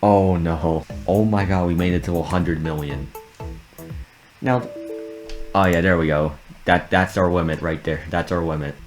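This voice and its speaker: Low-voiced man doing casual gaming commentary. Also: steady tone, unhurried. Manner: amused, reactive, talking through the task as it happens. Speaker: video creator narrating a game modding experiment. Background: background music.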